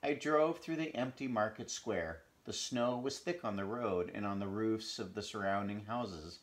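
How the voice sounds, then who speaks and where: An older man reads a storybook aloud in a measured, expressive way, recorded at home in a small room. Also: on the low side. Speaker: storybook reader. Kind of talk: read-aloud storybook narration. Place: small home bedroom, indoors.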